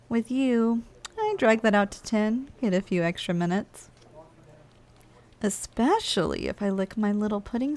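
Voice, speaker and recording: A woman's voice: medium pitch, a little mellow, lightly acted. Woman doing a playful giantess office worker for asmr rp, teasing n bossy, kinda lazy, intimate, talks down to you. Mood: playfully teasing. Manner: teasing, coaxing, casually condescending. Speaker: ASMR roleplay performer. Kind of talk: intimate ASMR roleplay, addressing the listener directly.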